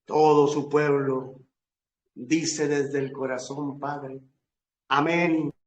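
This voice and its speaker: A man preacher leading a fervent, solemn prayer in emphatic short phrases with long pauses. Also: on the high side, steady tone, a little hiss or hum. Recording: echoey room.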